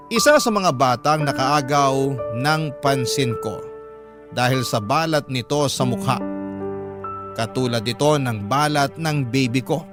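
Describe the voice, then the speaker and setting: A man reading a dramatic first-person story in a solemn, sentimental way, as a radio storyteller. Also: mid-range voice. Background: prominent music bed.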